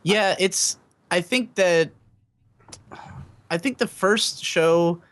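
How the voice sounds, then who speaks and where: A man's voice: sits high, very slow, a bit bright. A man co-hosting a podcast, talking through his opinions in a thoughtful, hedging way with many fillers. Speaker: podcast co-host. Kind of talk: casual podcast chat.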